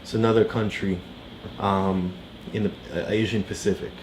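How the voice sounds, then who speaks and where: A man tutoring a child, casually explaining a storybook in simple, halting steps. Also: on the low side, fairly even intonation, darkish tone, slight background noise, low-quality recording.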